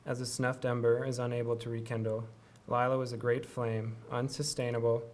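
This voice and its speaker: A young man reading a poem at a podium in a steady, even manner. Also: mid-range voice, fairly quick. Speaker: student reading his work. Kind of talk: poetry reading. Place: small lecture room. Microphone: podium gooseneck mic.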